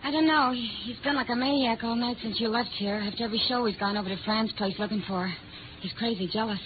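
A woman's voice: on the high side, steady tone, fast, close to an everyday voice. An actress playing a defensive, worldly nightclub woman in a 1950s radio drama. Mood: anxious, confessing. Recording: tinny, noisy old radio recording.

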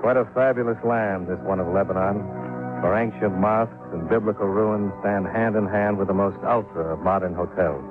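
A man plays a cool, dogged investigator in an old radio drama. His voice is on the low side, clipped, dry and businesslike. The fifties broadcast recording is badly muffled, with music clearly present. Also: moderate pace, natural voice. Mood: dry, matter-of-fact. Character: cool, dogged male insurance investigator, narrating his case. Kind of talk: 1950s radio detective acting.

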